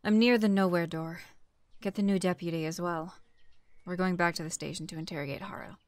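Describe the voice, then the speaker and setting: A tough, commanding female chief detective played by a woman in an audio drama, with a slightly low voice. She talks brusquely and sardonically, like a relentless interrogator, over clearly audible background noise. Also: steady tone, brisk, close to an everyday voice. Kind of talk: scripted audio roleplay acting.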